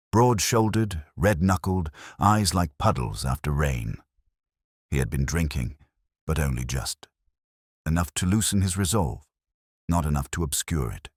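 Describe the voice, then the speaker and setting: A man playing a middle-aged detective who narrates a mystery in a measured, wry, reflective and literary manner. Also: a bass voice, darkish tone, no room sound, clean studio sound, full character voice. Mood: somber, reflective. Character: world-weary, wry, literary English male detective narrating his case. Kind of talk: scripted first-person mystery narration.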